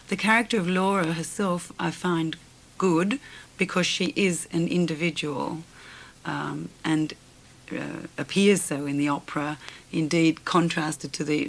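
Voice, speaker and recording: A female opera singer, a guest on a radio interview, speaking in a thoughtful, measured way. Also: low-pitched, vocal fry, poor audio. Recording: 1980s radio broadcast with faint hiss.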